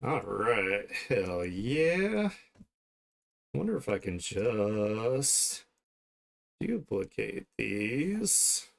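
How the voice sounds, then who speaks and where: Low-voiced man chatting casually on stream. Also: big pitch swings, extremely slow, creaky. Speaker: art streamer hosting a livestream. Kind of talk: casual livestream chat while drawing.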